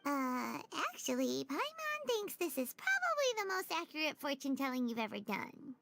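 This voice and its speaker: A woman voicing a small, excitable, childlike fairy companion in a video game, naive and cheeky. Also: high-pitched, moderate pace, vocal fry, slight background noise, full character voice. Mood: sheepish, cheeky. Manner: speaks of self in third person, exclaiming. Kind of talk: animated video game voice acting. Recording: background music under the voice.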